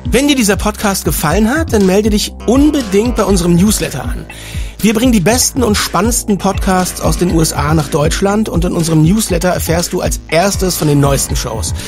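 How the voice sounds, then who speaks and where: A man's voice: sits high, brisk, faint music behind. A man hosting a podcast, reading scripted narration.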